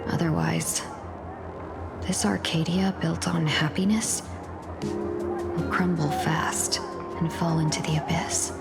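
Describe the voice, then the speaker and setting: An actress playing a poised, wry, careful female adviser in a game, with refined, deliberate delivery. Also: low-pitched, steady tone, gravelly, exaggerated voice. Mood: wary, weary. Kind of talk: scripted game dialogue. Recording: music behind the voice.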